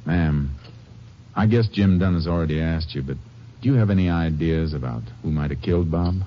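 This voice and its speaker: An actor playing a steady Texas Ranger in a 1950s radio drama, speaking calmly and politely with a Texan accent. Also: male voice, a bass voice, moderate pace. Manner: calm, polite, plainspoken. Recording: muffled old radio recording with faint hiss.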